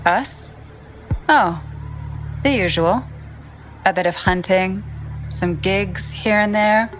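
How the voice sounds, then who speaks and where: A streetwise woman exile from a video game played by an actress, blunt and offhand, with a gruff attitude. Her voice is mid-pitched, with music playing underneath. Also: slow, lightly acted, low-quality recording. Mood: offhand, casual. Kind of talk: English video game voice acting.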